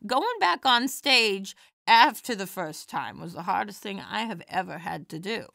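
A folksy old granny comic, acted by a woman, with a bright, forward voice in a dry, close recording. She chats and tells her tales with animation, kindly and playful, in audio drama style. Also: medium pitch, lively intonation, unhurried, creaky, dry recording, heavily acted. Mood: sympathetic, candid. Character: elderly widowed granny stand-up comedienne, folksy and kindly. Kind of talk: audio drama dialogue and stand-up routine.

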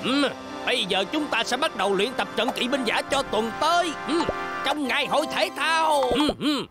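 A woman's voice: sits high, moderate pace, natural voice. A woman voicing a schoolteacher instructing pupils in a dubbed children's cartoon. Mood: encouraging. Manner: instructing, announcing. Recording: loud music under the voice.

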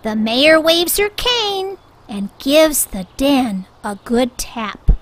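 High, lively woman reading a children's story. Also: a little hiss or hum. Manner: deliberate, rhyming sing-song delivery. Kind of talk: expressive children's read-aloud narration. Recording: music bed under the voice.

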